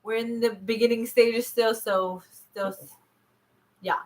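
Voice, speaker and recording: A woman's voice: fairly high pitch, fairly even intonation, slow pace, a little mellow, poor audio. A woman co-hosting a podcast in casual, playful, informal chat. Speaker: podcast co-host.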